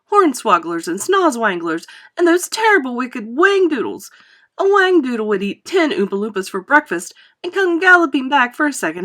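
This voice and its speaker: A woman's voice: a little high, fairly bright tone. A woman voicing an exuberant, boastful, eccentric male factory owner in a storybook, with theatrical, gushing emphasis.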